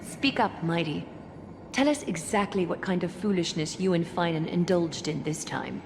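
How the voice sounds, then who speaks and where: A woman voicing a composed, authoritative noblewoman in an anime-style game, speaking formally, measured and stern. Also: fairly low pitch, background noise, close to an everyday voice. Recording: background game music.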